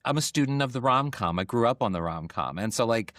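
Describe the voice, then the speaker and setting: A man's voice: medium pitch, expressive, quick pace, fairly bright tone, no echo, studio quality. A man interviewing guests in a casual, chatty and enthusiastic way. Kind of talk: casual chat.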